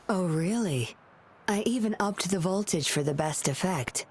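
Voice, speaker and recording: Low-pitched, guarded female patroller. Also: expressive, unhurried. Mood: teasing. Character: guarded, teasing female patroller with a lonely streak. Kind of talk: video game voice acting. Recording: clear ambient noise behind voice.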